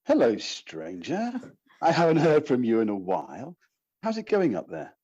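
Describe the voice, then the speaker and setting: Husky, lively-pitched caring man played by an actor. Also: moderate pace. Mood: concerned, affectionate. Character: caring, concerned male partner. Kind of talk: naturalistic audio drama acting. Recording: dull lo-fi personal-device recording.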